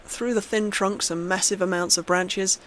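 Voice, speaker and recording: A woman narrates a scripted horror story. Her pitch sits in the middle for a woman. Faint noise and ambience run behind the reading. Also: steady tone, normal speed, a bit bright.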